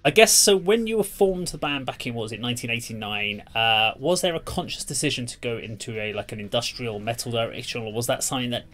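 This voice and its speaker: A man's voice: medium pitch, fast, few pauses, a bit bright. Man interviewing, music behind. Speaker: interviewer, host. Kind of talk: casual interview questioning. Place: indoor room on a video call.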